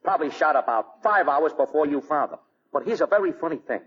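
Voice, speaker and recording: An actor playing a matter-of-fact American police lieutenant in 1940s radio drama style, businesslike and plain-spoken. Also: male voice, fairly high pitch, animated, a little fast, breathy edge. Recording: muffled, noisy 1940 radio recording.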